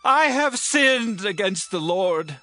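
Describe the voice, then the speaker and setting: A man's voice: high voice, expressive, slow pace, heavily acted. An actor playing a commanding, passionate king of ancient Israel in a dramatized scripture reading. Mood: remorseful. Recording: loud background music.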